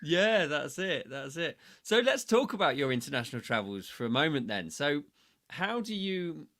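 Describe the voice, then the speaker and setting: A man hosting a casual interview, chatty and quick to laugh. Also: a little high, very animated, a bit bright. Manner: chatty, laughs easily, says "mate". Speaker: podcast host.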